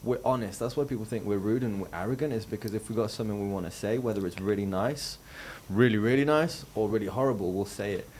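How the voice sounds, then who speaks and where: A man from France holds forth in a casual podcast chat, animated and loose-tongued. His voice sits a bit low for a man. A faint hiss of room ambience lies underneath. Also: quick pace, hardly any pauses, low-quality recording. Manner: animated, chatty, swears casually. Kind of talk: casual group conversation.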